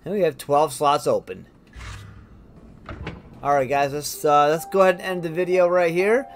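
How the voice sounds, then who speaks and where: A man's voice: on the high side, moderate pace. Man doing casual gameplay commentary, noisy background. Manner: informal, thinking aloud. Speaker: gaming video host. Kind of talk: casual let's play commentary. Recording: clearly noisy, game ambience behind.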